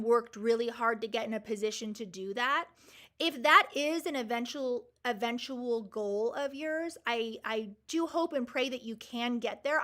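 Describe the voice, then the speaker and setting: Bright, high-voiced woman host. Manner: enthusiastic, emphatic, reassuring. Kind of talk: live Q&A answering viewer comments.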